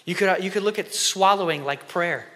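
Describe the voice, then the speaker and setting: Man in his thirties preaching from a church stage with a headset mic, bright voice, passionate, drops to whispers, hall reverb. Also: high voice, a little fast. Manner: impassioned, shifts between loud emphasis and hushed whispered prayer. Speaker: conference speaker. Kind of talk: sermon. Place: church stage before an audience.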